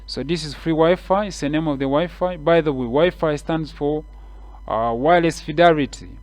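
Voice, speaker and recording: A man with a Kenyan English accent, guiding viewers step by step through a screen-share tutorial with relaxed, repeated explanations and frequent fillers. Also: on the high side, moderate pace, no echo.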